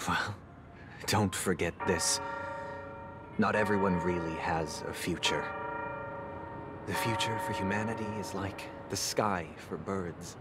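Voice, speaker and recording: An actor playing a solemn, cynical young man in a video game, with a measured, philosophical, persuasive manner. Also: sits low, fairly even intonation, loud music behind the voice. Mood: grave, measured. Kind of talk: dramatic video game voice acting.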